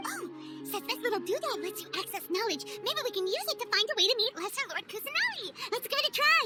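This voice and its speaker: A woman voicing a small, childlike, chirpy female fairy companion in an animated video game style, full of exclamations. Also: very high-pitched, quick pace, over loud music. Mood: excited. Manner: speaks of herself in the third person, exclaiming.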